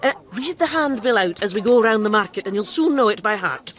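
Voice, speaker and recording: An actress plays a flamboyant female impostor doctor in a period radio play. She talks in grand, self-assured patter, swinging freely up and down in pitch. She is quick-witted and persuasive. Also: lively intonation, lightly acted. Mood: brisk, persuasive. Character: woman posing as a flamboyant, quick-witted male quack doctor. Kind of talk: radio drama acting and narration.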